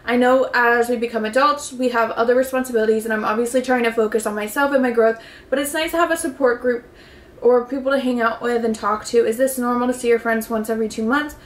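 A woman's voice: fairly high pitch, fairly even intonation, quick pace. A young woman in her twenties chatting casually to camera in her bedroom, reading out viewer messages and giving advice, swearing offhandedly and saying "like" a lot. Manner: bubbly, swears casually, reads messages aloud, lots of "like". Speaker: vlogger answering viewer submissions. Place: bedroom, indoors. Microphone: camera in front, seated. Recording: echoey bedroom.

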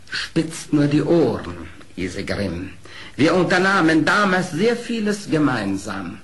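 An actor playing a sly, proud, eloquent fox in a radio play, speaking in a theatrical, deliberate way. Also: male voice, mid-range voice, slight background noise. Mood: smug, sly.

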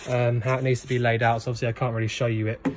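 Man, relaxed phone walkthrough of a van, steady noise behind, mid pitch. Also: very fast. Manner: relaxed, many ums, explains plainly. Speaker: camper van handover guide. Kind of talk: casual walkthrough, filmed on phone. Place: in and around a parked van, workshop. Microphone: handheld phone, one-handed filming.

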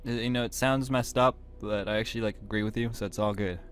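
A man's voice: lowish voice, fairly even intonation, quick pace, lightly acted. A man playing a laid-back but touchy young hellhound student, speaking in a slangy, offhand way in a game roleplay. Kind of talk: casual scripted Minecraft roleplay.

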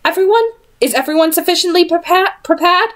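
A woman voicing a dry, condescending gentleman council member in a storybook. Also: very high-pitched, lively intonation, fairly quick, voice pushed up.

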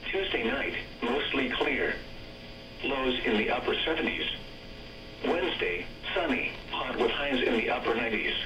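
A man's voice: medium pitch, sing-song, normal speed, far from the mic, music in the background. A man's text-to-speech voice reading an automated weather broadcast in an evenly clipped synthetic manner, played through a radio speaker. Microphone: camera pointed at a weather radio receiver. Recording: radio speaker recorded from across an echoey room.

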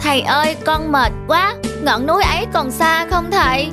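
A woman's voice: sits high, moderate pace, loud music behind the voice, close to an everyday voice. A woman voicing a small, young, impatient monkey apprentice wizard in a cartoon, whining and pleading. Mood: tired, pained. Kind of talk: cartoon fable voice acting.

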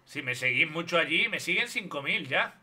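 Bright-voiced Spanish streamer, blunt and mocking. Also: male voice, fairly high pitch, lively intonation, moderate pace, husky. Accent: Spain Spanish. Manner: blunt, mocking, talks over the video. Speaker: streamer reacting to a video. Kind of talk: live stream reaction commentary. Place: home streaming room.

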